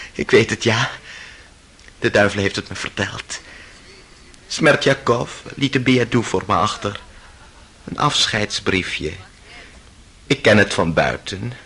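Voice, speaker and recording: A man's voice: on the low side, slow, gravelly, long pauses, bright, forceful tone, slightly rough, full character voice. An actor playing a feverish, delirious man haunted by the devil in a radio drama, rambling in a mocking, theatrical way. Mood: bitterly mocking.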